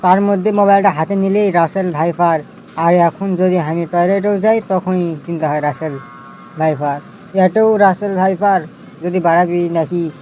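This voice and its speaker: A man voicing an anxious, excitable young village man in a comedy cartoon, with a northern Bangladeshi accent. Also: sits high, normal speed, slight background noise, lightly acted, low-quality recording. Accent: northern Bangladeshi regional. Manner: excitable, complaining, colloquial. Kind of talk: comic cartoon dialogue.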